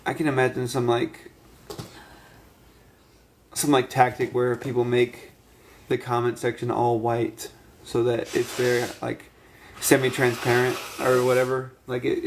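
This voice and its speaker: A man's voice: medium pitch, completely flat delivery, measured pace, pauses often, a little hiss or hum. A man in his late twenties hosting a live stream, chatting casually and pressing a guest with questions, cutting in to finish his point. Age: 20s. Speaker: livestream host. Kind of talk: casual live chat and debate.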